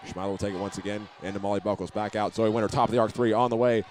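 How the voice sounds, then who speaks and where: A man doing live play-by-play of a high school basketball game, sometimes restarting his phrases, with the sounds of the game behind him. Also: little pitch movement, racing, a little mellow. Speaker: play-by-play announcer.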